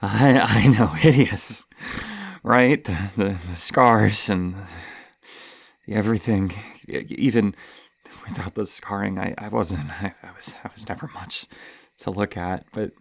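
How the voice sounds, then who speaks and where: A man's voice: lowish voice, dry recording, exaggerated voice. A man playing a lonely, insecure masked killer in an intimate horror ASMR roleplay, stammering and flustered. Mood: hesitant, self-loathing. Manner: stammering, halting, breathy sighs and nervous laughs.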